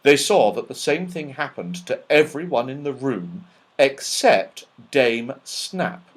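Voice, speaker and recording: Slow, very animated male storyteller. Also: mid-range voice. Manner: expressive, dramatic storytelling. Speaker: audiobook reader. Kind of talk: scripted children's story narration.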